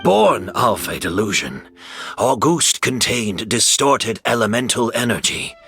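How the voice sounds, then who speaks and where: A man's voice: fairly low pitch, big pitch swings, a little slow, sharp tone, heavily acted. An actor playing an ominous, theatrical man in a video game.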